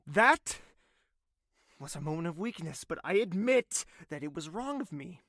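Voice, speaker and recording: Slow, gravelly, proud young royal. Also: male voice, on the high side, sing-song, a bit bright, no echo, clean studio sound, exaggerated voice. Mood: defiant, heated. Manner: imperious, intense, deliberate. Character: proud, wounded young male royal with a fierce temper. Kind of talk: dramatic audio drama acting with narrated inner thoughts.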